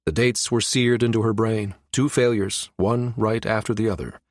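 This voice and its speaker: A man's voice: fairly low pitch, fairly even intonation, moderate pace, no room sound, clean studio sound. A man reading a science fiction audiobook as scripted narration.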